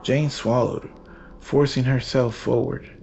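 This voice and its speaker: A man delivering scripted horror narration as the storyteller, grave, deliberate and ominous. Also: mid-range voice, fairly even intonation, vocal fry, a little mellow, a little hiss or hum. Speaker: narrator and archivist host.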